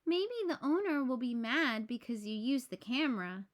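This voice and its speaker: A woman's voice: high-pitched, very animated, normal speed. A woman voicing a blunt, confident young female beaver in a children's storybook, matter-of-fact and insistent. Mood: cautioning.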